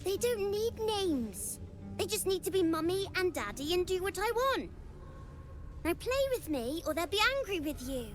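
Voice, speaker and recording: A woman's voice: extremely high pitch, big pitch swings, normal speed, faint music behind. A woman voicing a bratty, demanding little boy in a video game, with a petulant, eerie childlike manner. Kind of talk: video game character acting.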